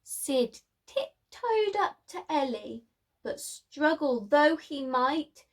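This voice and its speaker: A woman's voice: high voice, very animated, slow pace. A woman preschool teacher reading a story aloud, speaking expressively in simple phrases to small children.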